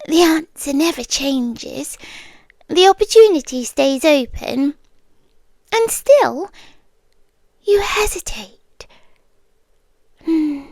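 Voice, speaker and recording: A woman with a British accent playing a possessive, softly menacing yandere girlfriend in a hushed, intimate ASMR roleplay, close to the microphone. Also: high voice, dry recording, exaggerated voice. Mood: patient, chiding. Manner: hushed, often whispering, deliberate pauses.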